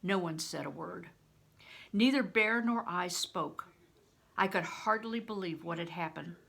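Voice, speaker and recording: An older woman reading a children's novel aloud as a storyteller, in expressive, deliberate audiobook narration. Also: lowish voice, vocal fry.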